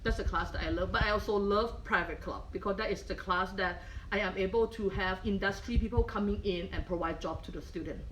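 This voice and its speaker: A woman's voice: medium pitch, fairly quick, hardly any pauses, a bit bright, slight background noise, poor audio. Thai-accented woman teaching a cooking demo. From Thailand. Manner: patient, checks in with frequent "okay?". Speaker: culinary professor. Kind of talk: live cooking demonstration. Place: kitchen.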